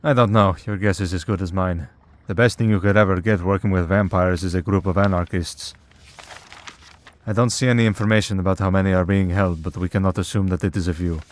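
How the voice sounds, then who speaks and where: A man's voice: low voice, fairly even intonation, a little fast, close to an everyday voice. A man playing a wry, teasing vampire in a priest's collar for an ASMR roleplay, in a sardonic, conversational way. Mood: musing, sober.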